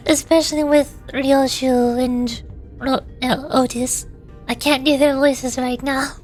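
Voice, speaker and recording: A woman's voice: high voice, flat delivery, slow pace, darkish tone, faint music behind. A woman streaming as a VTuber, chatting casually and reacting to her chat while her voice gives out. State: losing her voice.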